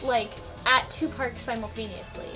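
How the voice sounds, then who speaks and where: A woman's voice: fairly high pitch, steady tone, moderate pace. A woman explaining things informally in a casual group discussion. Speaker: book club podcast panelist. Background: music behind the voice.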